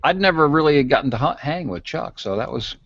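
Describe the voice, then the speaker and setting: A man's voice: a little high, animated, brisk, highs cut off. A man co-hosting a weather podcast, telling an amused story in casual chat.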